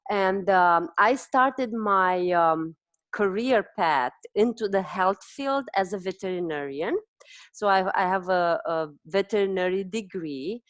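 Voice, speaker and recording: A woman's voice: medium pitch, expressive, unhurried, no echo. A woman in her forties with a Romanian accent, hosting a conversational interview and often restarting as she searches for words. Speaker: host, dietitian.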